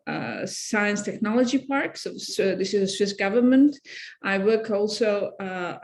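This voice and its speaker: A woman and former investment banker introducing herself on a panel, speaking haltingly with many fillers, earnest. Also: mid-range voice.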